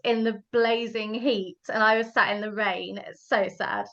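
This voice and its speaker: A woman's voice: fairly high pitch, steady tone, a little slow, fairly bright tone. British woman hosting over a dull video call. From United Kingdom. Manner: chatty, friendly, laughs easily. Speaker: webinar host. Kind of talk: hosting a webinar interview.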